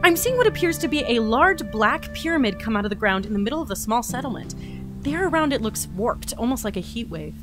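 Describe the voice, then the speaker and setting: Woman playing naive excitable explorer girl, high bouncy voice, narrating a mission log, audio drama w music. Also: fast talker. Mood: focused, observing. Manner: excitable, gushing, talking through observations aloud. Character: eager, naive young explorer entity logging a new reality.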